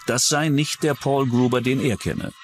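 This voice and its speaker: A man's voice: mid-range voice, steady tone, moderate pace, background noise. A man reading scripted narration for a true-crime documentary. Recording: music under the voice. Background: background music.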